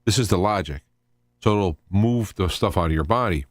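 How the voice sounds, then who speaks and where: A man hosting an informal live radio show, explaining things in a rambling, halting monologue with repeated word starts. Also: sits low, dry recording, clean studio sound. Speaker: radio host.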